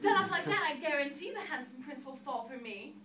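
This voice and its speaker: A woman's voice: high-pitched, lively intonation, brisk. A woman voicing a defiant, melodramatic young fairy-tale heroine in a theatrical reading of comic verse. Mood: greedy, excited. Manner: exclaiming, theatrical. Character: defiant, bossy young Cinderella, loudly demanding, melodramatic. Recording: roomy, distant mic, clear background noise.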